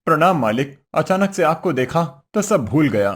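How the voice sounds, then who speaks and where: A man's voice: fairly high pitch, sing-song, moderate pace. A man voicing a poor, simple woodcutter husband in a cartoon story, earnest, meek and fretful. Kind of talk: Hindi cartoon story voice acting.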